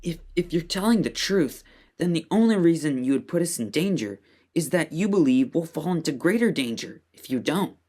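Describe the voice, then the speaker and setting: A performer playing a bright, polite orphan boy who explains things carefully and logically in a family audio drama. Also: mid-range voice, expressive, normal speed, close to an everyday voice. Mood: earnest, thoughtful.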